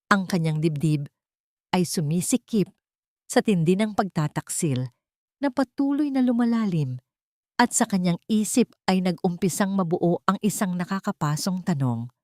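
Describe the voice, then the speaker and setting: A woman's voice: fairly low pitch, lively intonation, moderate pace, warm tone, no room sound, clean studio sound. A woman reading scripted, dramatic love-story narration for an audio drama.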